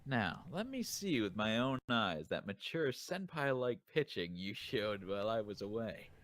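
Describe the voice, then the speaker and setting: A man playing a steady, chiding teenage catcher in an amateur manga dub, measured and coaxing. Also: on the low side, dry recording. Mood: earnest.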